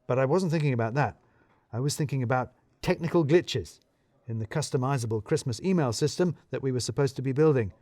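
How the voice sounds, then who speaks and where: A man reads first-person fiction as its narrator. His delivery is wry and deadpan, at an average male pitch. The recording is dry, with hardly any room sound. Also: dry recording.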